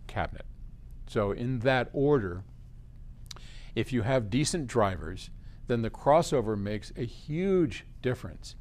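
Casual explainer: American male, 70s, conversational and emphatic, highly varied pitch. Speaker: company chief answering viewer questions. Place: large open office lobby, indoors.

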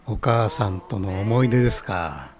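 A man's voice: lowish voice, animated, unhurried, a little hiss or hum. A man playing a genial ramen stall owner in a scripted radio skit, explaining things politely. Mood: friendly, informative. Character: genial male ramen stall owner who knows his music.

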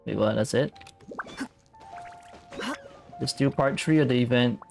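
Slow, creaky-voiced male game streamer. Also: mid-range voice. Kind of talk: casual gameplay commentary. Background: game music.

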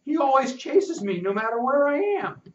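Squeaky scruffy boy of ten, rustic and boastful, very high and lively, put on by an older man; roomy, distant, muffled take. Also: moderate pace, a little hiss or hum. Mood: spooked. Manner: rustic ungrammatical talk.

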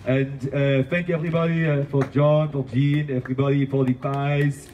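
A young man chatting casually in a halting, non-native sounding way, as a street performer selling wares. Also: medium pitch, flat delivery, dull audio, slight background noise. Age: young adult. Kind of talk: casual chat with customers. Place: outdoor street block party. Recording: loud music and crowd outdoors. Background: music, crowd chatter.

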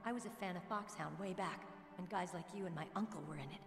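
A woman's voice: fairly low pitch, fast, close to an everyday voice. Actress playing a young woman soldier, echoing. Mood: guarded, wry. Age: young adult. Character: tough, earnest young female rookie soldier, proud yet searching. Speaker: video game voice actress. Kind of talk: dramatic game cutscene dialogue. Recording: echoey reverberant game audio, music underneath.

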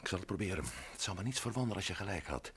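An actor playing a wily, talkative middle-aged friend of a general in an old radio play, chatty and wry. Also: male voice, deep voice, animated, racing, fairly bright tone, breathy edge, exaggerated voice. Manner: wry, chatty, full of little "hè?" tags. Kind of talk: 1960s radio play acting.

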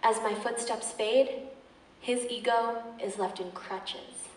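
Echoey, high-voiced young woman performing slam poetry. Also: steady tone. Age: young adult. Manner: fierce, emphatic, rhythmic delivery with dramatic pauses. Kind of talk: dramatic spoken-word slam poetry recitation. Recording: echoey room, distant microphone.

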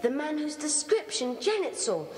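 A woman's voice: sits high, big pitch swings, normal speed, a little mellow. A woman playing an eager, plucky schoolboy in a children's audio drama. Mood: concerned. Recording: echoey cellar reverb, old cassette.

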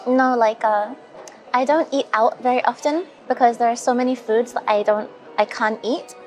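High-voiced chatty young woman. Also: steady tone, moderate pace, darkish tone, faint music behind, poor audio. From Caribbean, later England. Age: 20s. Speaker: interview guest. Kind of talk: casual chat over afternoon tea. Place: spacious hotel lounge restaurant, indoors. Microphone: small recorder or phone close across the table.

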